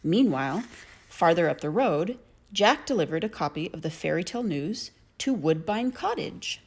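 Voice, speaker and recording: A woman narrating a children's story in an expressive storytelling manner. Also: lowish voice, normal speed.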